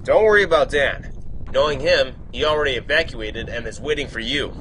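A man's voice: mid-range voice, brisk, fairly bright tone, slight background noise, close to an everyday voice, low-quality recording. A man playing a determined, reassuring young hero in a game fan dub, plain-spoken.

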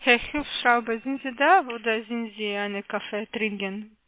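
A woman's voice: on the high side, moderate pace, slight background noise. A woman call center agent, not a German speaker, asking hesitant, repetitive, polite questions in a phone sales conversation. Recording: muffled, narrow telephone line.

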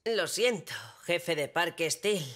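A performer voicing an impulsive, mischievous young boy in an animated children's series, whiny, cheeky and dramatic. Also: mid-range voice, takes it slowly, no echo, studio quality. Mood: sheepish.

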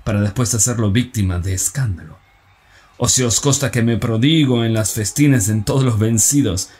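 A man's voice: on the low side, darkish tone, slightly rough. A man in his forties playing Cassius, a scheming, persuasive Roman senator, in an earnest, insistent theatrical recitation that stumbles now and then. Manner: earnest, insistent, slightly halting declamation. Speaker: actor performing a stage monologue. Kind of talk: theatrical radio-drama recitation.

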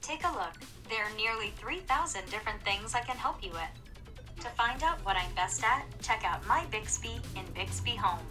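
A woman's voice: on the high side, moderate pace, faint music behind. Synthetic woman's assistant voice. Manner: even, friendly, scripted. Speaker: phone voice assistant.

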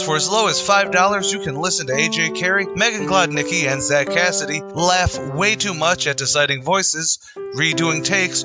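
An announcer reading a scripted outro in an upbeat, promotional manner. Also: medium pitch.

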